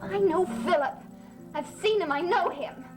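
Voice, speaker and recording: A yearning young woman in an old soap, high-voiced, insistent, music under. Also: normal speed. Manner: wistful, insistent. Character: young woman devoted to a lost love, wistful. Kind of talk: 1960s soap opera acting. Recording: old TV soundtrack with music beneath.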